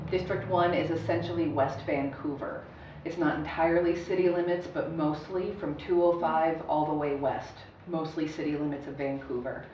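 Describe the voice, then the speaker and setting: Woman, somewhat low voice, matter-of-fact, echoey meeting room. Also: steady tone, moderate pace, noisy background. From Ohio, US. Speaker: candidate on a panel. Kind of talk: panel self-introduction. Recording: echoey room from far off, dull.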